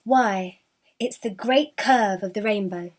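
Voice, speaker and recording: A woman's voice: medium pitch, slow. Woman playing a sincere, courageous young girl. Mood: wondering. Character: faithful, courageous, kind-hearted scarred young girl.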